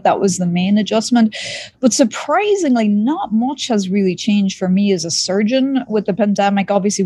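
An Irish woman surgeon talks through her answers in a relaxed, practical way, often pausing with "you know." Her voice sits at a middle pitch. The recording is dry, with no room sound. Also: no echo. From Ireland. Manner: pragmatic, relaxed, talks with frequent fillers. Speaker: surgeon guest. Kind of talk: podcast interview.

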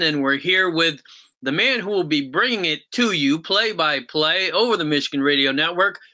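Very bright-voiced male podcast host. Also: a little high. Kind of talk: conversational interview hosting.

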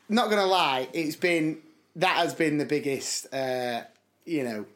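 A young man, talking in a chatty, storytelling way with frequent laughs. His voice sits a little higher than most men's and has a slight hoarseness. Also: takes it slowly, fairly bright tone. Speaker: podcast co-host. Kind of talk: casual chat.

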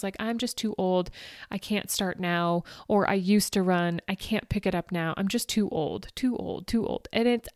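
A woman's voice: mid-range voice, no echo, studio quality. A woman in her forties hosting a motivational podcast, talking chattily and upbeat to her listeners, laughing often. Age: 40s. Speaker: podcast host. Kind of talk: motivational solo podcast talk.

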